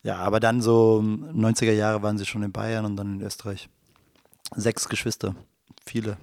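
Flat-voiced man explaining at length. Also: fairly low pitch, normal speed, no echo. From Germany. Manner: explains at length with many fillers and restarts. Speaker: guest, dramaturg and human rights lecturer. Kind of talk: casual interview chat. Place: studio.

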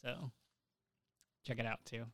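A young man with a smooth, clean voice hosts a casual podcast. He speaks at a middling pitch in informal, slangy phrases, recorded close and dry. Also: level tone, slow pace, a bit bright, dry recording. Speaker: podcast host.

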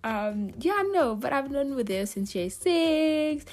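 A young woman with a Kenyan accent closes out her podcast episode. Her voice sits a little high, and she chats in a loose, rambling way with frequent giggles. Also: expressive, slow pace, darkish tone. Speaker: podcast host. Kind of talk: casual podcast outro.

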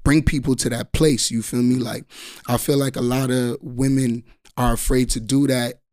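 A rapper with a deep voice, talking in laid-back slang during a casual podcast conversation. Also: male voice, normal speed, dry recording, clean studio sound. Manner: laid-back slangy talk, steady flat delivery. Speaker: rapper guest.

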